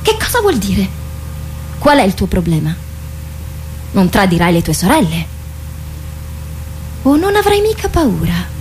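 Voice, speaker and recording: An actress in a radio drama playing a boastful, impatient teenage warrior girl, bossy and excitable. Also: mid-range voice, unhurried, pauses often, slight background noise. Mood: impatient, taunting.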